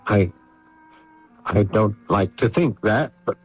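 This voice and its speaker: An actor in a radio drama playing a lonely, haunted man of the house who muses haltingly and questions himself. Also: lowish voice, expressive, husky, exaggerated voice. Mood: brooding. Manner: halting, musing, self-questioning. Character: brooding, guilt-ridden gentleman of the house, haunted and lonely. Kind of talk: radio drama acting with monologue. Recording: muffled vintage radio drama recording, background music.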